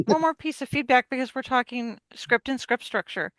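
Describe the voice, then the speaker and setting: A woman giving measured, tactful feedback in a casual group discussion. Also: high-pitched, over a noisy crowd, low-quality recording. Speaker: radio play narrator and panelist.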